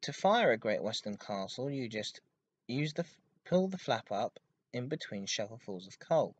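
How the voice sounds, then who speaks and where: A man hosting a hobby podcast, giving an informative enthusiast monologue in a halting way with stressed intensifiers. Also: medium pitch, very animated, muffled recording. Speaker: podcast host. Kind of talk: informative enthusiast monologue about railway history.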